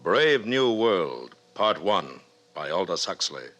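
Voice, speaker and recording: Deep-voiced American radio announcer. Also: male voice, sing-song, slow pace, a bit bright. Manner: formal, portentous. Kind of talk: 1950s dramatic radio announcing. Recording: vintage 1950s radio recording.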